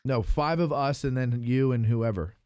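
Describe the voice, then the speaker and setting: A man's voice: mid-range voice, moderate pace, a little mellow, clear, resonant tone, dry recording, studio quality. An American man hosting a casual chat show, informal and rambling as he thinks aloud. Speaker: podcast host.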